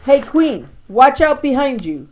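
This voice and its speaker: A woman's voice: high-pitched, big pitch swings, a little slow, a little mellow, slight background noise, pitched above the performer's own voice. A woman reader playing a young schoolboy in a storybook who pretends to be king, unsure of himself and plain in his speech. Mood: alarmed. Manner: plain, informal child's talk.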